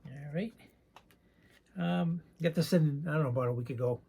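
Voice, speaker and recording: A soft-spoken man with a raspy voice, chatting casually and rambling a bit while showing his collection. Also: a little high, normal speed. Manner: soft-spoken, not loud; rambling, hesitant with many ums. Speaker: card collecting channel host. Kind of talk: casual show-and-tell chat.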